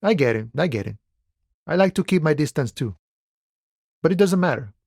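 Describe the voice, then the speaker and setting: An actor playing an easygoing, philosophical union dockworker in a video game, talking in a relaxed, wry and familiar way. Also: male voice, on the high side, moderate pace, creaky, breathy edge, no echo, studio quality, exaggerated voice. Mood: friendly, reflective. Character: laid-back philosophical union dockworker, easygoing, wryly friendly man. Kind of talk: video game dialogue voice acting.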